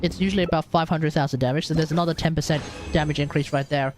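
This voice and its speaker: A man's voice: a little high, steady tone, racing. A man doing casual livestream game commentary, rambling and thinking aloud over game music. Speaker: game streamer. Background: video game music.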